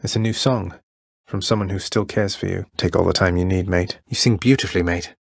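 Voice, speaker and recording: A man playing a young underworld prince in a video game, friendly, courteous and gently wry. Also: low voice, steady tone, brisk, creaky, breathy edge, no echo, clean studio sound, exaggerated voice. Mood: warm, encouraging.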